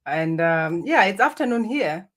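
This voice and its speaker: A woman's voice: mid-range voice, lively intonation, a little slow, background noise. A middle-aged woman from Botswana, a native Setswana speaker with a Botswana accent, reflecting on her life as an interview guest. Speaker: interview guest, spiritual healer. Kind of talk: reflective personal storytelling.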